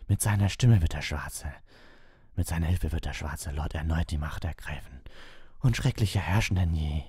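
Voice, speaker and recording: A man voicing an entranced female seer in an audiobook, harsh, slow and ominous as a dark prophet. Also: very deep voice, breathy edge, no echo, clean studio sound, full character voice.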